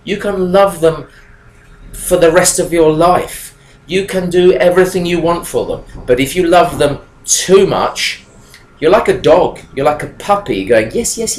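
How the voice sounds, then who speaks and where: A man's voice: on the high side, normal speed. A man in his fifties teaching English to learners, explaining grammar in a small room with a webcam, talking emphatically. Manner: animated, emphatic, gestures while talking. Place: small room indoors with whiteboard. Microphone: webcam at desk. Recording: echoey room, faint background noise.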